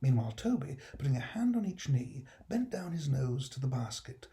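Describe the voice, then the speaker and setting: Mellow British man narrating a classic story. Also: medium pitch, big pitch swings, normal speed. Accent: British English. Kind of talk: expressive scripted storybook narration.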